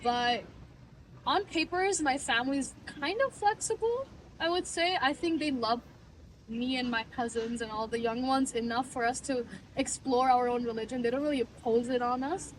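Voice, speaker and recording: A woman's voice: sits high, normal speed, recorded from a distance, a little hiss or hum, poor audio. A woman in her twenties from Bangladesh, talking earnestly and reflectively in a casual interview and laughing easily. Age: 20s. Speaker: client giving a testimonial.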